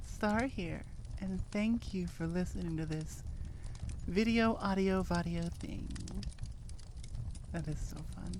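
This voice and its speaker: Female audio creator, informal and playful listener chat, soft dark tone, faint noise behind. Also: on the low side, slow. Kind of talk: casual chatty outro to listeners.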